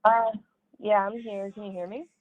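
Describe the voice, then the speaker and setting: A woman's voice: mid-range voice, little pitch movement, normal speed, no room sound. A polite woman in her twenties calls in to ask the host for clarification. Her voice is dry and thin, coming over a phone line. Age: 20s. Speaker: caller.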